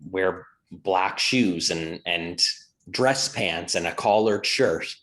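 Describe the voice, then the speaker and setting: A man with a somewhat low voice talks on a casual morning show. He speaks thoughtfully and hesitantly, circling back and restarting as he works through his ideas. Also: slow pace, a bit bright. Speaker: co-host.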